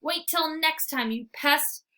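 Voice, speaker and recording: A woman voicing an excitable, cocky little male dog in a storybook, with dramatic, eager exclamations. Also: high voice, takes it slowly, pitched above the performer's own voice, full character voice. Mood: indignant, scolding. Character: excitable small male dog, cocky squirrel-hating playful hero. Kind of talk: storybook read-aloud, first-person narration.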